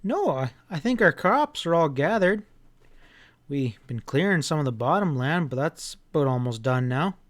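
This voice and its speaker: A man's voice: fairly high pitch, very animated, moderate pace. A man voicing an earnest, obedient country farm boy in an audiobook. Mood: earnest, a little doubtful.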